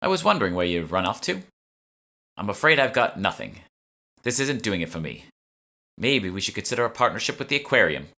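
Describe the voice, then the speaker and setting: Man as cocky woman thief, audiobook dialogue, breezy, haughty, sharp-tongued, lifted pitch, bright edge. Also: very animated, a little fast, vocal fry, pauses often, full character voice. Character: brazen, cocky woman con artist and thief.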